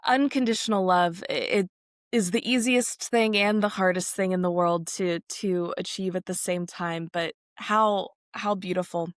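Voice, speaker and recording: A woman's voice: on the low side, fairly even intonation, a little slow, no echo, clean studio sound. A young adult woman hosting an interview, asking open questions and affirming her guest's answers. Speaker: podcast host.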